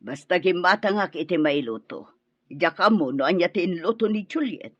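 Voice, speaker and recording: An actress playing a brisk, bossy Filipino mother of the household in an Ilocano radio drama. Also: fairly low pitch, expressive, breathy edge, no echo, dull audio. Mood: chiding.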